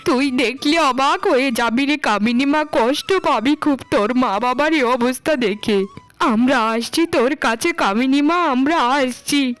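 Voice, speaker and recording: A woman's voice: sits high, measured pace. A woman voicing a landlord's ageing wife in a cartoon, lamenting melodramatically as a mother fallen on hard times. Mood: mournful hope. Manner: melodramatic, wailing, stammering when shocked. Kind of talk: Bengali cartoon melodrama acting.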